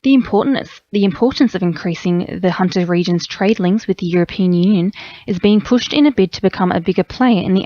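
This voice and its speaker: A woman's voice: lowish voice, a little mellow, dry recording. A woman with an Australian accent reading a scripted radio news bulletin. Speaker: radio newsreader. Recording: slightly dull radio audio.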